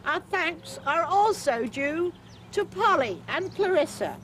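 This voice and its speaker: A woman's voice: very high-pitched, lively intonation, slow pace, a bit bright. An older English woman in her seventies speaking informally and telling anecdotes in a chatty way. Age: older, 70s. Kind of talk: informal public address and reminiscence. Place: outdoors on a street among onlookers. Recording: noisy outdoor recording with crowd and ambience.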